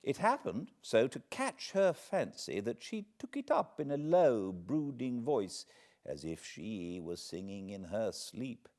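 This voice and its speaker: A man, reading a classic novel aloud in measured, expressive storytelling. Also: mid-range voice. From Britain. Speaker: audiobook narrator. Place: cozy home spot beside a fireplace.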